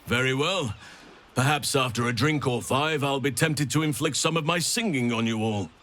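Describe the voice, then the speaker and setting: A man acting as a suave, wry adventurer from a video game. His medium-pitched voice is measured and dryly formal, with courtly wording. Faint noise sits behind him. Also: a bit bright, lightly acted. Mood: wry, playful.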